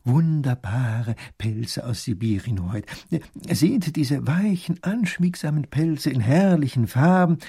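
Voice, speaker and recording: A man voicing an obsequious ship captain who flatters with flowery courtly phrases. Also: mid-range voice, slow pace, slightly rough, full character voice. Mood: fawning.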